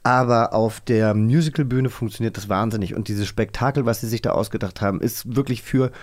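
A man's voice: fairly low pitch, brisk, no echo. A man co-hosting a podcast in a casual, jokey and somewhat rambling way. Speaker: podcast co-host.

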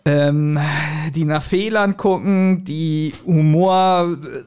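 A man with a German accent chatting as a podcast co-host in a halting, joking manner. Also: fairly high pitch, a little mellow, clear, resonant tone. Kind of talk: casual joking podcast chat.

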